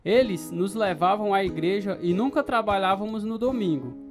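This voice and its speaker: A man playing a grown narrator looking back on his childhood, reflective and measured in scripted radio drama narration. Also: sits high, close to an everyday voice. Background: plucked guitar music.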